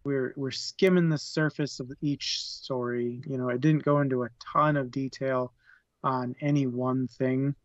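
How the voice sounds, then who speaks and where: A man co-hosting a book club podcast, giving his views in a thoughtful, halting way and repeating words as he searches. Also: mid-range voice, fairly even intonation, no room sound. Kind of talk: casual book discussion. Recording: dull, lo-fi dry mic.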